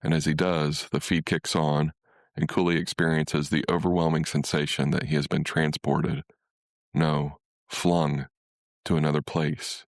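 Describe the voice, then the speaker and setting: A man's voice: rumbling low, flat delivery, measured pace, no echo, clean studio sound. A man reading a literary short story as scripted narration.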